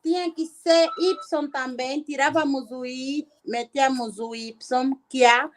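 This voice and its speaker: A woman's voice: high voice, steady tone, takes it slowly. A woman student in an informal lesson, answering hesitantly and thinking aloud.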